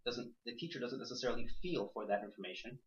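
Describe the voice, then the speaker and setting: Male teacher, very animated delivery, rhetorical questions, restated phrases, dull roomy recording. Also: mid-range voice, quick pace, slight background noise. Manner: rhetorical questions, repeats and rephrases himself. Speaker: teacher leading a class. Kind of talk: interactive lecture to a small class. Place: indoor room with a few students. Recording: echoey room, dull muffled sound.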